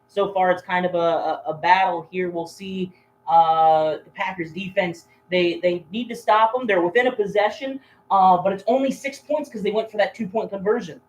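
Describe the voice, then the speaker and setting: A man co-commentating on a sports stream, chatting casually and giving score updates in a hesitant, low-key way. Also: moderate pace, low-quality recording.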